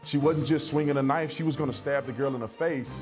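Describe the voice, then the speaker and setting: Fast-talking, blunt American man. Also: mid-range voice, fairly even intonation, over music. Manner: blunt, indignant. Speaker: TV guest commentator. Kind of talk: TV interview commentary.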